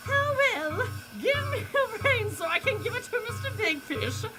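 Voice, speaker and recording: A woman's voice: very high-pitched, sing-song, moderate pace, over loud music, poor audio. A woman playing a giddy, scheming male mad scientist in a comic radio play, with theatrical, stilted formal speech and a cloying, fiendish manner. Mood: frantic.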